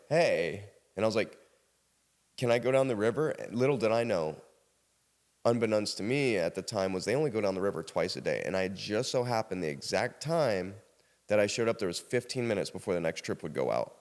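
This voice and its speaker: Podcast guest: American man, low voice, casual animated storyteller, recorded with long reverb. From South Carolina, United States. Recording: reverberant hall-like room sound.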